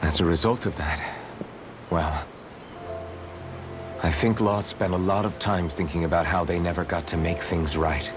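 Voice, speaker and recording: An actor playing a gentle, idealistic young swordsman in a video game, speaking with measured, sincere reassurance. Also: low voice, steady tone, over music, lightly acted. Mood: gentle, reassuring. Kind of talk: video game cutscene dubbing.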